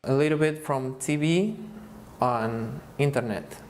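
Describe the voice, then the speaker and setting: A man in his twenties from Argentina, answering interview questions in a hesitant, halting way with frequent restarts. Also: mid-range voice, a little mellow. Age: 20s. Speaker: survey respondent. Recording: faint background noise.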